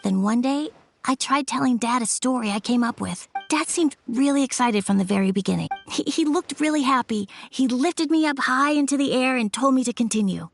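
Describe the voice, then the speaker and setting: Young boy, earnest, imaginative, childlike storytelling, creaky, video game acting, music behind. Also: sits high, animated, brisk. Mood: wistful, confiding.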